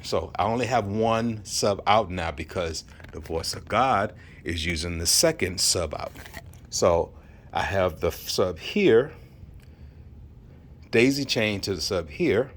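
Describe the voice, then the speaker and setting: A man casually narrating a walkthrough of his home setup in an informal, enthusiastic, rambling hobbyist manner. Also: low voice. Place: indoor home theater room. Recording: clearly noisy handheld home video.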